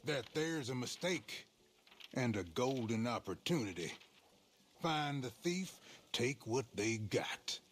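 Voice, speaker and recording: An actor playing a sly, rough Old West outlaw with an American Western accent, husky and conspiratorial, in a game cutscene. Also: male voice, mid-range voice, lively intonation, slow, fairly bright tone, slight background noise. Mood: scheming. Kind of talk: video game cutscene acting.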